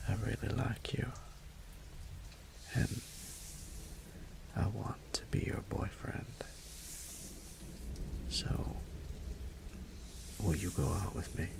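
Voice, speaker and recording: A man playing a sweet, lovestruck young man in a romantic audio roleplay, speaking tenderly and hesitantly in a breathy half-whisper. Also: on the low side, slow, pauses often, full character voice, low-quality recording. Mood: tender, nervous.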